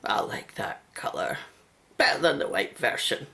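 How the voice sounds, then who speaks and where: A woman acts a homely mother and carer in a radio drama. Her voice is soft, sometimes breathy, and moves up and down as she coaxes gently. There is an anxious tenderness to her. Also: a bass voice, sing-song, normal speed, whispered, voice pushed down, full character voice. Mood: worried, pleading. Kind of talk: radio play acting.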